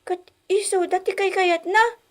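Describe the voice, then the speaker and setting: A woman playing a small, plaintive daughter with a slow, childlike, pleading manner in a radio drama. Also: very high-pitched, fairly even intonation. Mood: wistful. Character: small plaintive girl, daughter pining for Mama.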